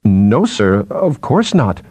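A man's voice: fairly low pitch, very animated, slow, mellow, slightly rough, dry recording, full character voice. A man voicing a nervous, apologetic junior scientist who chatters deferentially to his superior in an audiobook. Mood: miserable, hesitant. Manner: chattering, hesitant.

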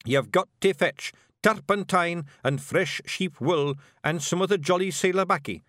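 A man voicing a small, blustering male fairy clan chief in a broad Scottish accent, gruff and boastful. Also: high voice, a bit bright, dry recording, studio quality, pitched above the performer's own voice. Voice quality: gruff, boastful, quick to bristle.

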